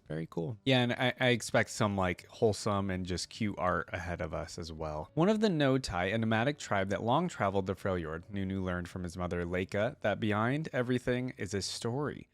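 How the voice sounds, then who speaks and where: A man with a fairly low voice hosts a casual reaction video. He moves between offhand commentary and reading aloud, recorded dry with very little room sound. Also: no echo.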